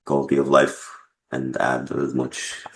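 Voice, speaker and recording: Male developer interviewee, extremely low voice, unhurried measured phrasing, slight background noise. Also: monotone. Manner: measured, pausing between short phrases. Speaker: game developer being interviewed. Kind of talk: casual explanatory interview answers.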